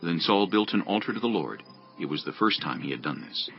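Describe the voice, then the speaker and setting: A man's voice: low-pitched, expressive, a little fast, dull audio, soft background music. A man reading scripted narration for a dramatized audio Bible.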